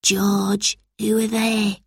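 A woman's voice: medium pitch, flat delivery, very slow, vocal fry, slightly rough, dry recording, studio quality, heavily acted. A woman voicing a small, timid young boy who whines and fusses, in a storybook reading. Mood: whiny. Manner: whining, fussing.

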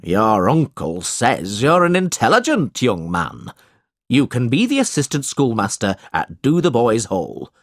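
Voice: mid-range voice, wildly swooping pitch, a little slow, dry recording, studio quality, heavily acted. A storybook reader voicing a stingy, blustering middle-aged male schoolmaster who talks in a bossy, falsely generous way. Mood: self-important.

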